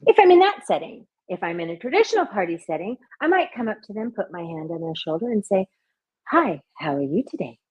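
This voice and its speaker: Soft, husky-voiced woman hypnotist. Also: medium pitch, very animated, a little fast, lo-fi sound. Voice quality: soft, soothing. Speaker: hypnotist and party performer. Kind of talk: conversational interview answers.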